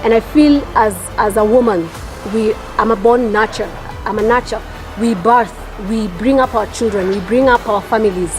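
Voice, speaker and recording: A woman's voice: fairly high pitch, moderate pace, over music, rough recording. A woman from Kenya, an entrepreneur, answering interview questions in an earnest, reflective way with repeated phrases. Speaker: entrepreneur, property developer.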